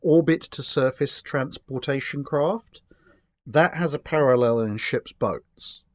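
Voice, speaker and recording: A man's voice: medium pitch, slow pace, dry recording. A man chatting casually and discursively, with a wry streak and a taste for hypothetical examples. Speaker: guest answering history and starship questions.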